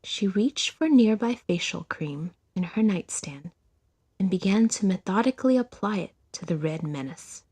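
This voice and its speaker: A woman's voice: mid-range voice, expressive, moderate pace, mellow, breathy edge. A woman narrating a scripted audio drama story.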